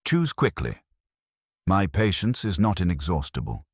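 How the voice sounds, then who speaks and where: A man voicing a stern, imperious detective who speaks formally and deliberately in an audiobook mystery. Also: low-pitched, wildly swooping pitch, husky, dry recording, studio quality, pitched above the performer's own voice, exaggerated voice. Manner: formal, deliberate, pronouncing judgment. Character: stern, imperious male genius detective, coldly accusing.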